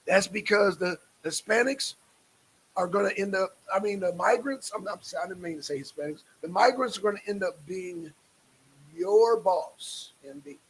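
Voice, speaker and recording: A man's voice: high voice, normal speed, husky, rough recording. A man hosting a casual live stream, speaking in a repetitive, emphatic and exasperated way.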